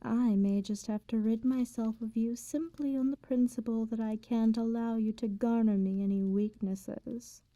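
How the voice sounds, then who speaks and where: A woman playing an immortal vampire in an ASMR roleplay, speaking softly and formally, with condescending tenderness and quiet menace. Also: a little high, steady tone, no room sound, lightly acted, poor audio. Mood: tender, menacing. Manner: formal archaic diction, unhurried, teasing. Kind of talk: slow ASMR roleplay, intimate bedtime storytelling.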